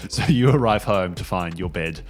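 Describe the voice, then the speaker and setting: Deep-voiced man running a tabletop game. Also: lively intonation, normal speed. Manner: informal, jokey. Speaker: game master. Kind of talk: casual improvised narration of a tabletop game. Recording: music playing behind.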